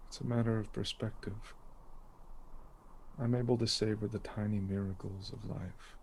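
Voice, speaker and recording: Man playing flat, detached godlike being. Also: on the low side, vocal fry, a little hiss or hum. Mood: detached calm. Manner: calm, emotionless, measured. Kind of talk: drama dialogue.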